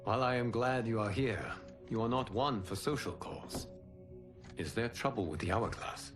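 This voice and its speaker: A man playing a serene fire god and wise protector, speaking in a measured, formal way in video game cutscenes. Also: mid-range voice, natural voice. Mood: calm, reflective. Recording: music behind the voice.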